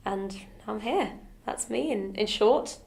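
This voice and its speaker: Young woman grew up in belgium, gravelly fry, casual chat at a table. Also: mid-range voice, slow, a little mellow. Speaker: guest entrepreneur. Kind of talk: casual conversation. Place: meeting room at a round table.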